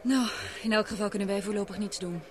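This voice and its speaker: An actor playing a lively young adventurer. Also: medium pitch, fast talker, lightly acted. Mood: coaxing. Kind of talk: radio play acting.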